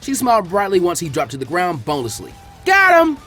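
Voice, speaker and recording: A man narrates an action story in a fairly high voice with highly varied intonation. It is a scripted, dramatic audiobook reading, with music playing underneath. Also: big pitch swings, fast talker. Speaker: fan fiction reader.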